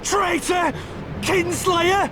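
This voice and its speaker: An actor playing an embattled soldier in a fantasy video game, in a dramatic, shouting style. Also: male voice, extremely high pitch, steady tone, drawn-out words, noisy background, heavily acted. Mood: outraged.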